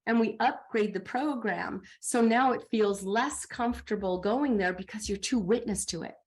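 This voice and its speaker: A middle-aged woman coach talking in a podcast interview, animated, acting out her own inner dialogue. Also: medium pitch, normal speed, poor audio.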